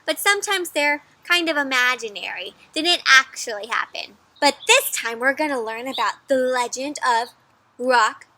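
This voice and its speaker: A woman giving an interactive storybook read-aloud for children, animated and encouraging, often asking her young listeners questions. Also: high voice, moderate pace, slight background noise.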